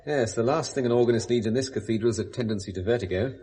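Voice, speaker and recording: A middle-aged man with a British accent acting an urbane, persuasive businessman in a 1970s radio drama, speaking briskly and frankly. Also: mid-range voice, dark tone, slight background noise, natural voice. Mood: brisk, genial. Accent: British English.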